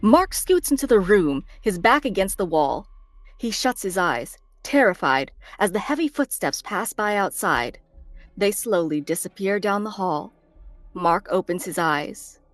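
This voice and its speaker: A woman's voice: mid-range voice, sing-song, unhurried, dry recording. A woman narrator reading screenplay stage directions as dramatic scripted narration. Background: faint background music.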